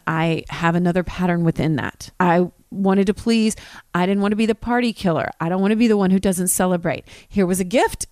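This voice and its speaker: A woman's voice: on the low side, a little mellow, no room sound, clean studio sound. A woman in her forties hosting a podcast, talking candidly and confessionally in a personal monologue. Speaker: podcast host.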